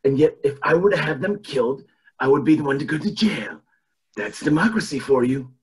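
A man's voice: on the high side, animated, brisk, slight background noise, natural voice. A man on a video call playing a scheming, pompous old tycoon in an amateur cartoon table read, with theatrical delivery. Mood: bitter, menacing. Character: scheming old male tycoon running for governor.

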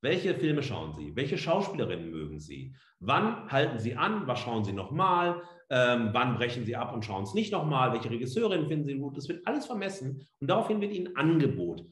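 A man's voice: medium pitch, sing-song, a little fast, few pauses. A man giving an explanatory slide lecture as a professor, speaking emphatically with asides. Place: indoor room, seated on camera. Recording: roomy, echoing indoor sound.

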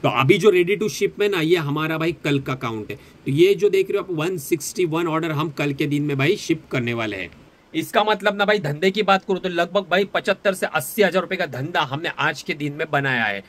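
A man's voice: fairly high pitch, animated, normal speed, a bit bright. A young man in his twenties, chatting casually to camera in a vlog and filming himself on a phone held at arm's length. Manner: chatty, says "bhai" constantly. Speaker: vlogger and online seller. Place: small indoor office room, also outdoors on a street at night.

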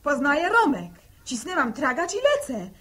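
A plain-spoken farm daughter in a vintage radio serial. She speaks high and with big swings of pitch, fiery and earnest, telling things dramatically. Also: sing-song, moderate pace, a bit bright. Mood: agitated. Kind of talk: 1960 radio drama acting.

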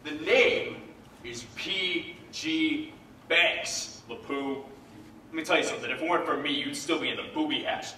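A brash crude producer. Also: male voice, a little high, pushed and bright, a little hiss or hum. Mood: scornful. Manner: brash, insulting, blunt. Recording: echoey stage from far off.

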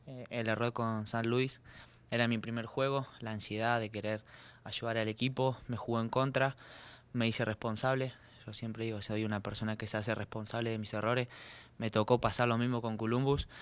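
A young man, a soccer player answering at a postgame press conference, earnest and halting with repeated words, speaking into a desk mic. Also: mid-range voice, a bit bright, clean tone. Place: press room. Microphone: desk mic on stand.

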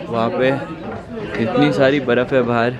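Excited young Indian man vlogging. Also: medium pitch, normal speed, muffled recording. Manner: chatty, excited, mixing English into Hindi. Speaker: travel vlogger. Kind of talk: casual travel vlog narration. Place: mountain cable cars and summit restaurant, busy with people. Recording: loud crowd chatter around.

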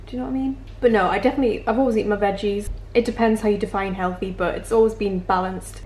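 A British woman in her twenties talks casually to camera with a middling pitch and a self-deprecating, conversational manner. She sounds a little away from the microphone in an echoey room, with faint noise behind her. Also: fairly even intonation, fast, darkish tone. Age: 20s. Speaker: vlogger answering viewer assumptions while baking. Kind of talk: casual chatty vlog to camera. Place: home kitchen, indoors. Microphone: camera mic at a short distance. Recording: roomy, distant mic, faint background noise.

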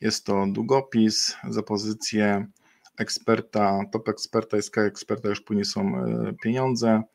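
Low-voiced man presenting a webinar. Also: level tone, measured pace, vocal fry. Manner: unscripted, many fillers and self-corrections. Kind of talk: explaining a partner program over slides.

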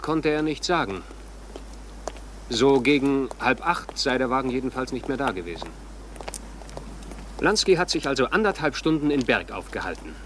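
An actor as a businesslike police detective, medium pitch. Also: male voice, a bit bright, slight background noise, lightly acted. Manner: factual, orderly reporting. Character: businesslike male police detective reporting findings. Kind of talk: crime radio drama acting.

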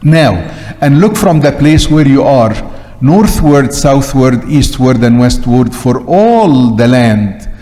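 Older Egyptian priest preaching in long reverb. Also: male voice, mid-range voice, expressive, takes it slowly, dark tone. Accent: Egyptian Arabic. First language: Arabic. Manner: emphatic, repeats short phrases. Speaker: Coptic Orthodox priest. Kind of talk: sermon. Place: large church interior, at the pulpit. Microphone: gooseneck pulpit microphone.

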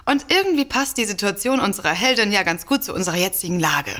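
A woman's voice: mid-range voice, lively intonation, fast, bright, forceful tone. A woman playing a defiant, wry novelist sister in an audio drama. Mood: reassuring. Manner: confident, wry. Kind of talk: German audio drama acting.

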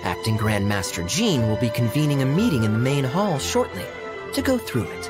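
A man's voice: medium pitch, sing-song, loud music behind the voice, close to an everyday voice. Man acting a suave, teasing young knight captain. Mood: reassuring. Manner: smooth, teasing, unhurried. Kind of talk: video game dialogue.

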